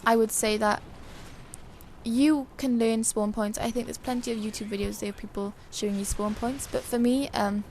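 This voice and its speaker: A woman with a dry, hoarse voice giving casual, chatty and rambling commentary over a video game. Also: on the high side, steady tone, normal speed, darkish tone, a little hiss or hum. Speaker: gaming video creator.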